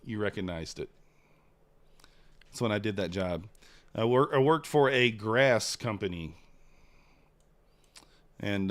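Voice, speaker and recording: A man, hosting a live trading stream in casual chat, rambling and replying to chat. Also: mid-range voice. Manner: relaxed, rambling, reads chat aloud. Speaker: livestream trader host.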